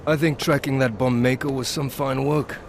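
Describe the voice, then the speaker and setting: An actor playing a weary, middle-aged male pilot in a video game, sardonic and dry, dropping low at the ends of lines. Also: steady tone, moderate pace, slight background noise, close to an everyday voice. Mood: wry. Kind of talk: video game cutscene dialogue.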